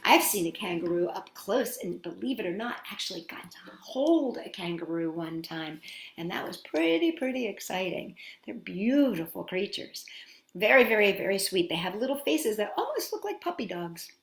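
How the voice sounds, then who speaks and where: A woman's voice: mid-range voice, very animated, moderate pace, creaky, reverberant. A woman hosting storytime for children, reading and chatting expressively and addressing her young listeners directly. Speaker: children's storytime host and singer. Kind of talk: storytime read-aloud and chat to children.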